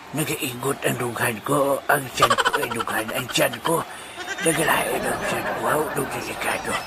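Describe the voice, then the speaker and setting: A man's voice: medium pitch, creaky, natural voice. A man playing a fussy, rambling old grandpa who enters a singing contest, chuckling and grumbling as he goes. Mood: fretful. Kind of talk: amateur audio drama. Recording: loud background noise.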